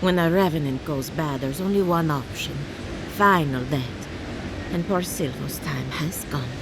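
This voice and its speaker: A woman's voice: low-pitched, measured pace, background noise. An actress voicing a cheerful, eccentric woman necromancer farmer in a video game, theatrical and breezy about gruesome things. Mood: fond, resigned. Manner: theatrical, breezy, matter-of-fact about gore. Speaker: quest-giving farmer.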